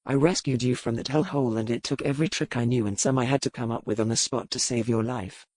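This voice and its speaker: Pirate captain, male played by a female narrator: deep, stern, commanding, roguishly protective, audiobook romance style. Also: fairly even intonation, quick pace, a little mellow, dry recording. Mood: stern, scolding. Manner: stern, formal, sardonic.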